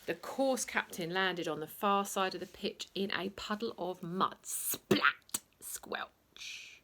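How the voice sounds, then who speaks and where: A woman narrating a children's bedtime story in an expressive, theatrical way, playing up the sound words. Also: medium pitch, slow, slight background noise.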